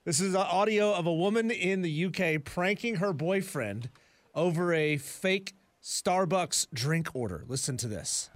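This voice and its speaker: A man's voice: high-pitched, lively intonation, unhurried, dry recording. A man co-hosting a sports radio show, chatty and setting up clips in casual banter. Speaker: radio co-host.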